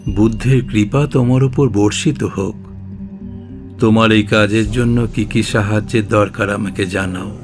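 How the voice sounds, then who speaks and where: A man playing a concerned, fatherly elder Buddhist teacher in an audio drama, speaking gravely and formally. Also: lowish voice. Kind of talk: Bengali audio story acting. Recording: dramatic music behind the voice.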